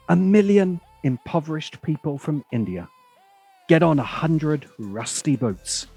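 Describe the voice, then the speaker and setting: Man, sardonic, scripted essay narration, slightly husky, unhurried, faint music behind. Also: medium pitch, darkish tone. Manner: sardonic, dramatic pauses. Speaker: video essay host.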